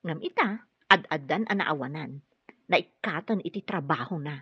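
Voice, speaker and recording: A woman acting in a radio drama as a modest, earnest caregiver with a gentle, hesitant manner. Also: sits low, animated, moderate pace, slightly rough, no echo, exaggerated voice. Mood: concerned. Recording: dull muffled radio drama recording.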